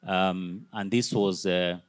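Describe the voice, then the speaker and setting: A very deep-voiced man from Kenya speaking on an education panel. He talks slowly and haltingly, with many fillers between phrases, and his voice sounds dry and close, with no room echo. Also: steady tone, clear, resonant tone. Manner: slow, hesitant, many fillers. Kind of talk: conference panel talk.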